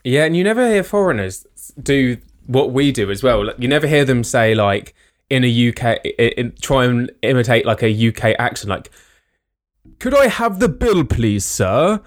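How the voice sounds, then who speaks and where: A man's voice: medium pitch, sing-song. A young man from the UK, co-hosting a podcast, rambling and poking fun at himself. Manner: rambling, self-mocking, quick laughs. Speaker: podcast co-host. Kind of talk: casual chat.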